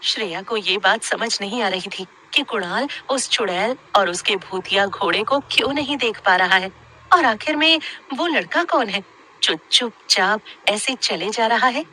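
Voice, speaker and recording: Sharp, bright-voiced woman storyteller. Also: medium pitch, expressive, measured pace, rough recording. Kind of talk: scripted horror story narration.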